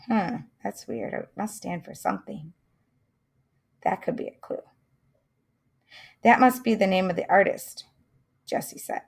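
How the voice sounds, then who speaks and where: A woman reading a children's mystery chapter aloud, pausing to comment and wonder aloud to her listeners. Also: on the low side, creaky. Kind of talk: read-aloud storytelling with asides.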